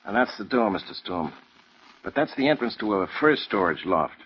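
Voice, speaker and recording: A man's voice: fairly low pitch, very animated, normal speed, slightly rough. An actor playing a plain-spoken, streetwise male beat cop in a vintage radio crime drama. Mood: uneasy. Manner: colloquial, streetwise. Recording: muffled vintage radio recording.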